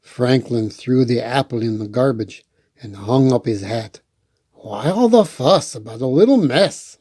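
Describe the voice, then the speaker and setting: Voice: mid-range voice, expressive, a little slow, dark tone, slightly rough. A storytime host reading a picture book aloud and adding playful, rambling, chatty asides.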